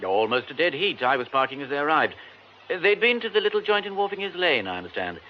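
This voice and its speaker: A man's voice: a little high, very animated, fast. An actor playing a jaunty, self-mocking British theatre man in a radio drama, wordy, hesitant and wry. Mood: affable. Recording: muffled radio broadcast, faint noise.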